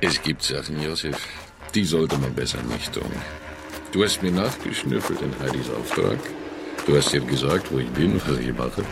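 A middle-aged gangster boss for a radio play, with a rock-bottom, creaky voice. He is deliberate and sardonic, his intonation moves widely, and music plays clearly behind him. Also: a bass voice, expressive, exaggerated voice. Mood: quietly threatening. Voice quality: gravelly. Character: menacing middle-aged Russian male crime boss, chillingly matter-of-fact. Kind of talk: radio drama acting.

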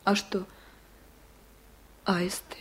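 A woman playing a caring younger village mother in a 1970s radio play, speaking softly and politely. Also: drawn-out words. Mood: puzzled.

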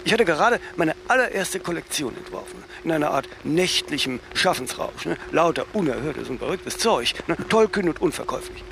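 An actor playing an imperious, self-mythologizing older fashion designer in a German radio play, speaking haughtily in aphorisms and tagging sentences with "ne?". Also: male voice, on the high side, animated, a little fast, creaky, few pauses, noisy background. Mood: grand, reminiscing.

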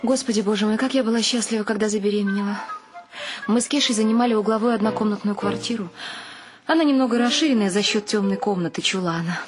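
An actress playing an expressive middle-aged mother who tells the story of her family in a radio play. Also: mid-range voice, quick pace, natural voice. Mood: fond reminiscing. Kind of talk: radio play narration and acting. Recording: music under the voice.

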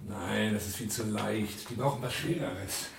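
A man's voice: lowish voice, steady tone, a little slow, gravelly. An actor playing an impatient, hard-nosed man in shady business in a German radio drama. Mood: brisk. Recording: distant, echoey, very noisy recording.

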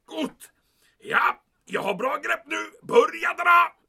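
Man playing a sharp-voiced, officious commandant. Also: very high voice, animated, gravelly, exaggerated voice. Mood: excited, straining. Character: fussy, secretive male military commandant, a major. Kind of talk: audio drama acting.

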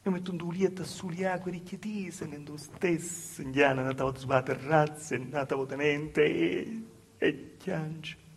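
A man with a Calabrian accent playing an anxious, wronged young village woman in a halting, repetitive theatre monologue. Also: a little high, steady tone, gravelly, breathy edge, heavily acted. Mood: sorrowful, pleading. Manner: halting, repetitive, dropping to hushed asides. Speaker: stage actor performing a monologue. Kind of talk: dialect theatre monologue, storytelling.

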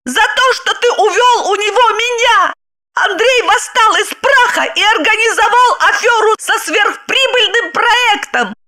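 A shrieking, brassy wife. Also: female voice, very high voice, measured pace, pushed and bright, voice pushed up, exaggerated voice. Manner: shrieking, mocking, cackling. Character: shrill scornful middle-aged wife, brassy. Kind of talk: audiobook character reading.

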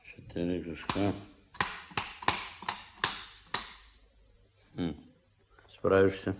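An actor playing a gruff, shrewd, middle-aged fish farm boss in a radio play, giving curt orders in a gravelly voice. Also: male voice, sits low, little pitch movement, a little slow, a little mellow. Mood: curt, commanding. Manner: curt, bossy, clipped commands. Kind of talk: Soviet radio play acting. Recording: dull, lo-fi old radio recording.

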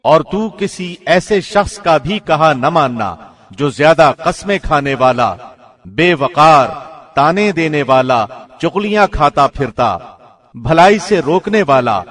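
A man reading a scripture translation from a script in a formal, measured radio-announcer manner. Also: a little high, lively intonation, a bit bright. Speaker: translation reader, radio presenter.

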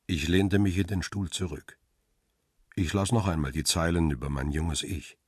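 Uneasy photographer narrating, very deep husky voice. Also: male voice, steady tone, moderate pace, no room sound, studio quality. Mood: reflective. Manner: quiet, introspective. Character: middle-aged photographer, uneasy and reflective. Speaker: narrator and protagonist. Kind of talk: first-person radio drama narration.

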